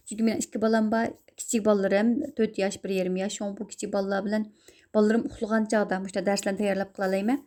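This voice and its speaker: A young woman, a native Uyghur speaker, teaching German; she reads each example sentence slowly and a bit louder, then explains it in a calmer, lower voice. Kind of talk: recorded language lesson.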